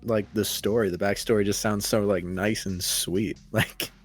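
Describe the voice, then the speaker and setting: A man hosting a podcast, talking in a relaxed way, swearing casually and laughing often. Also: lowish voice, moderate pace, slightly rough. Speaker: podcast host.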